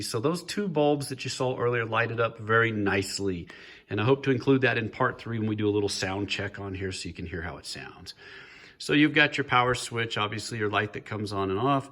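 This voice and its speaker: A man's voice: medium pitch, fairly bright tone. Chatty man giving a casual project walkthrough. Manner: chatty, informal, lightly joking. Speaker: hobbyist builder presenting his project. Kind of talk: casual show-and-tell walkthrough. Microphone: handheld phone.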